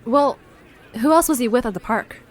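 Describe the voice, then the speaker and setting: A woman's voice: fairly high pitch, moderate pace, natural voice. A woman playing a blunt, easily flustered young amateur investigator in an audio drama, with a hesitant, sardonic way of talking. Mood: awkward. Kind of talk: audio drama dialogue, conversational.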